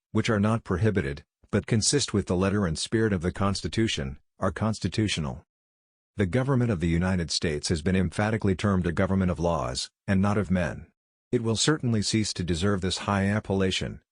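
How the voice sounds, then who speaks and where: Male narrator, low-pitched, measured and formal, dry close sound, for scripted quotation readings. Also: no room sound. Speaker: quote narrator.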